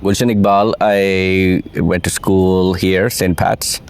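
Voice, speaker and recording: A middle-aged man with a Pakistani accent and a very low voice talks through his story as an interview guest. He is reflective and unhurried, and he drops in the odd Urdu phrase. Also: flat delivery, clear, resonant tone. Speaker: guest, venture capitalist. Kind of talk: relaxed interview conversation.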